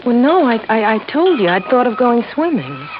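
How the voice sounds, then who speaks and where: An actress playing an anxious, hesitant young woman in an old time radio drama, halting and earnest. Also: fairly high pitch, sing-song. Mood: flustered, evasive. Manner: halting, earnest, breaking off mid-thought. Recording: dull, faintly hissy old radio recording.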